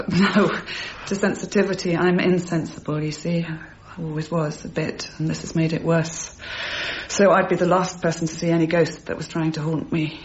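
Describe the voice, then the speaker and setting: An actress playing a middle-aged grieving mother, brittle, wry and halting, in a naturalistic radio drama. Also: sits low, little pitch movement, natural voice. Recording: muffled, faintly noisy radio broadcast recording.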